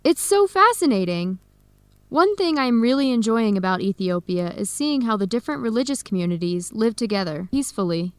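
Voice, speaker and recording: A woman's voice: fairly high pitch, big pitch swings, brisk, smooth, no room sound. An actress playing a curious, earnest young woman in a radio drama. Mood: fascinated. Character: Helen, curious, earnest young American woman visiting Ethiopia. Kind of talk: radio drama acting for English learners.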